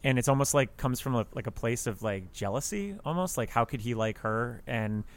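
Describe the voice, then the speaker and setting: A man with a middle-pitched voice in a casual podcast conversation about film. He speaks tentatively, pausing often as he feels his way through a thought. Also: animated. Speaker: podcast panelist.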